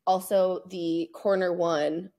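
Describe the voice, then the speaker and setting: A young woman speaks in a fairly low voice as a podcast co-host. Her delivery is informal and emphatic, given to exaggeration, in the loose style of a casual sports chat recorded at home over a video call. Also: steady tone, slow pace, warm tone. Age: young adult.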